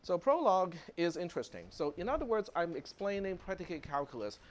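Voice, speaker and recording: A man's voice: high-pitched, a little fast. A man whose first language is Cantonese, giving a casual, chatty university computer science lecture in a classroom, with plenty of fillers. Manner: relaxed, chatty, lots of fillers, chuckles.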